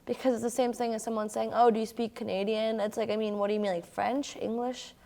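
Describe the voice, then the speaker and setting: A school-age girl from Brazil, native in Portuguese, speaks in a fairly high voice. She chats casually, circling through her stories with youthful fillers and halts. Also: flat delivery, quick pace, creaky, dark tone. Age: child or young teen. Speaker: young newcomer sharing experiences. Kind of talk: casual chat.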